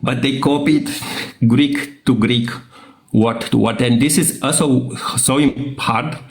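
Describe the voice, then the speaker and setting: A man with a Romanian accent, a native Romanian speaker, arguing in a casual debate and often pausing to search for words. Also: mid-range voice, echoey room. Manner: halting, searching for words, fillers.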